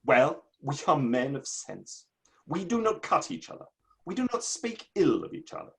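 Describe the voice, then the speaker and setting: A male actor as an indignant literary gentleman of the Victorian age, in a dramatic play reading. The voice sits on the high side and moves with great animation. The phrasing is grandiose, formal and touchy. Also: very animated, normal speed, vocal fry. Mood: measured, formal. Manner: declamatory, grandiose, formal old-fashioned phrasing. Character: indignant Victorian literary man, proud, theatrical, easily affronted.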